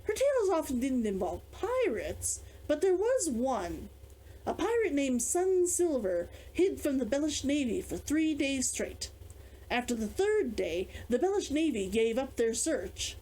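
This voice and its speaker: Expressive high-voiced woman reading a story. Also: unhurried, poor audio. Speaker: author reading own book. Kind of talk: read-aloud fantasy novel narration.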